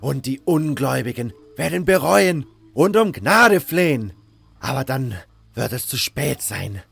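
A man performs a fanatical cult preacher from a fantasy audio drama. He declaims in solemn, insistent repetitions, rousing the faithful, with some gravel in the voice over faint music. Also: mid-range voice, sing-song, a little slow, a bit bright, full character voice. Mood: menacing, prophetic. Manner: declamatory, rhetorical, repeating phrases insistently.

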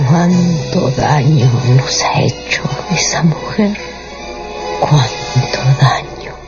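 An actress playing a bitter, grieving mother in a radio drama, in a breathy whisper. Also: sits low, drawn-out words. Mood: bitter grief. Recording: dull radio production with music.

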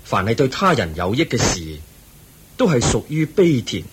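An actor playing the Buddha, a calm and compassionate teacher who speaks gently and patiently, in a radio play. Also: male voice, mid-range voice, noisy background, lightly acted. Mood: serene. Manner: gentle, patient, measured preaching. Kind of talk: Buddhist radio drama acting.

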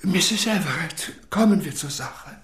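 Self-important male clinic boss, slow halting formal speech, roomy echo, radio play. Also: a little high, animated, fairly bright tone. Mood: evasive, uneasy. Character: pompous, evasive male head doctor of an elite clinic. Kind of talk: crime radio drama acting.

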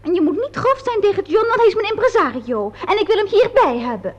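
High, swooping temperamental young actress. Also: female voice, sing-song, fast. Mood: coaxing. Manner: theatrical, teasing, swinging from coy to indignant. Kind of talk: radio play acting. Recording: dull lo-fi old radio recording.

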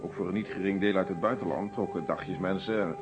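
An actor playing a composed, methodical police inspector in a Dutch detective radio play. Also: male voice, sits low, steady tone, a little fast, music in the background, lightly acted. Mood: relaxed, explaining. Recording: muffled old radio recording.

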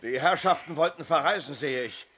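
An actor playing a brash police inspector who gives orders and needles suspects mockingly in a 1970s radio play. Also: male voice, on the high side, brisk, very noisy, lightly acted. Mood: sardonic, coaxing. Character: brash, sardonic male police inspector commanding an arrest.

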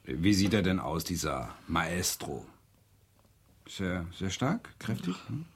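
A husky-voiced university lecturer in a radio play. His delivery swings widely in pitch, conversational and ironic, with small hesitations and a slightly flustered, helpful air. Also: very animated, slow pace, exaggerated voice. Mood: wry, probing. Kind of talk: naturalistic radio drama dialogue.

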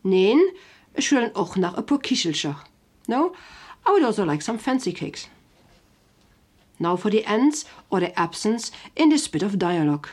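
A woman presents a scripted language lesson with a husky, breathy voice at medium pitch. She is didactic and takes her time, laying out each example carefully word by word. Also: big pitch swings, unhurried, pauses often, a little mellow. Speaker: language teacher.

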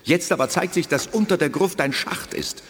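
A man's voice: on the high side, lively intonation, moderate pace, big-room sound, natural voice. An actor playing an earnest, puzzled male teleporter crewman in a 1980s radio play.

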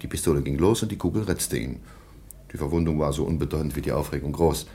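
An actor playing a stern, sceptical middle-aged German baron in a radio play, dry, formal and sardonic. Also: male voice, a bass voice, quick pace, warm tone, a little hiss or hum. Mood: indignant, narrating.

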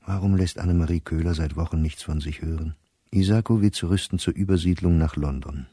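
A middle-aged professor worn down and bitter, reading his diary in a radio play. His voice is very low and mellow, set close and dry. He speaks in exact, clipped entries. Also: a little fast, husky, no echo. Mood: resigned, gloomy. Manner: precise, clipped telegraphic diary phrasing.

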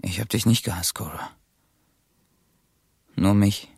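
An actor playing a guilt-ridden, desperate lover in a German radio drama, speaking in a hushed, halting, husky voice. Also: male voice, on the low side, no room sound, clean studio sound, exaggerated voice. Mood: weary, bitter. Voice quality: husky, breathy, gravelly.

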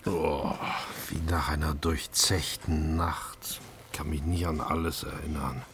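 A man's voice: very deep voice, fairly even intonation, slow, a bit bright, slight background noise, exaggerated voice. An actor playing a wry, food-obsessed chef who narrates his own story in a German crime radio play. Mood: groggy, subdued. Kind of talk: dramatic first-person narration and radio play acting.

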